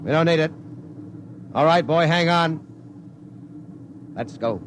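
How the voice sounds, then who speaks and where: A man playing a tough, loyal rocket pilot, gruff and clipped, in 1950s radio drama style. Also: a little high, takes it slowly. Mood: brisk, protective. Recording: noisy 1950s radio recording.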